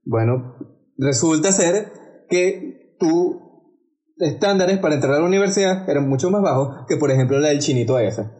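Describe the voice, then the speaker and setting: A man's voice: on the high side, lively intonation, normal speed, mellow. A young man from Venezuela with a Venezuelan Spanish accent, co-hosting a casual podcast debate and often stumbling over words. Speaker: podcast co-host.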